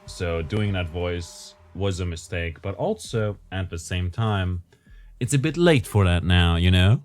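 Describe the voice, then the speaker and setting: Low-voiced man muttering through gameplay. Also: a little slow, slight background noise. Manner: thinks aloud, muttering calculations and self-corrections. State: voice strained lately. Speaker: gameplay commentator. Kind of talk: casual gameplay commentary.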